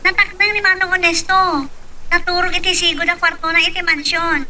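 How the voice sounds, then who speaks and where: A young, lovelorn Ilocano woman of the office speaks in a very high, sweet radio-drama voice. Her accent is Ilocano. A light layer of noise sits behind the recording. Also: level tone, brisk, sharp tone, full character voice. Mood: pleading, sweet.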